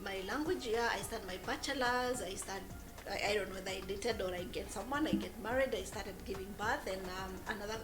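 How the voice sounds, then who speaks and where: A woman in her forties from Kenya, telling her story as a guest in an interview, pausing and restarting her phrases often. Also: mid-range voice, roomy sound, over music. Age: 40s. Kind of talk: conversational interview.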